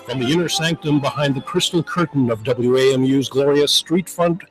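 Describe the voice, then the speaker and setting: A man's voice: medium pitch, moderate pace, over loud music, low-quality recording. A middle-aged man hosting a radio interview show in a studio, with a polished broadcast delivery. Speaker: guest radio host. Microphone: studio microphone, headphones.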